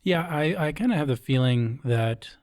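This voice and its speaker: A man hosts a casual interview show, speaking conversationally at a middle pitch. The sound is close and dry, with very little room around it. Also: expressive, moderate pace, darkish tone, no echo. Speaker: show host.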